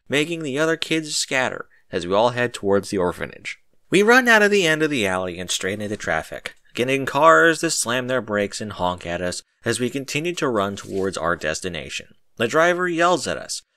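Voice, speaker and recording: A man reading a story as animated scripted narration, recorded close and dry. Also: lowish voice, dry recording. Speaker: narrator of a fan fiction audiobook.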